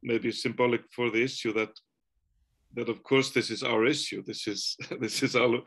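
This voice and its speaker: Flat-voiced Icelandic man on a video call. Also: medium pitch, creaky. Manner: thoughtful, halting, many restarts. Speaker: author. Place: home room, indoors. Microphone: video call webcam.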